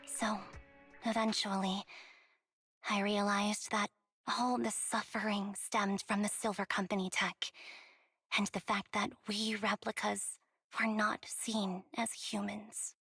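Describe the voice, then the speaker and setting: An actress playing a remorseful young woman soldier and former squad captain in a video game, speaking softly and haltingly with music behind her. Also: mid-range voice, level tone, creaky, slightly rough, no room sound, studio quality, exaggerated voice. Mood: reflective, sad. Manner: soft, measured, halting, subdued. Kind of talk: dramatic video game voice acting.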